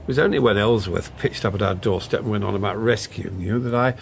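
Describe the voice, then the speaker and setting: An actor playing a contrite, flustered English husband in his sixties, halting and apologetic, in a naturalistic radio drama. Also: fairly low pitch, vocal fry, a little hiss or hum. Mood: rueful. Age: 60s.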